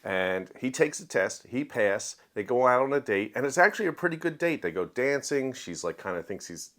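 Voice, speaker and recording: A man's voice: mid-range voice, lively intonation, normal speed, a bit bright. A man giving a casual, opinionated book review to viewers in a conversational, emphatic way. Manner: conversational, acts out quoted dialogue in everyday voice.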